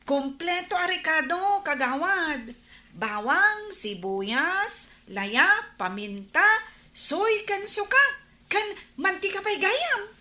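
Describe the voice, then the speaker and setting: A woman's voice: very high-pitched, sing-song, takes it slowly, pushed and bright, exaggerated voice. A woman playing a gossipy village woman who brings neighbourhood news, in an Ilocano radio comedy drama. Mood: chatty. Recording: roomy, echoing radio drama recording.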